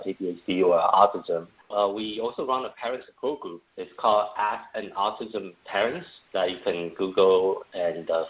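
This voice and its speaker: A man from Taiwan answers interview questions in an earnest way and often pauses on fillers. His voice is very low. The audio sounds dull and a bit lo-fi. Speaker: guest, entrepreneur and parent.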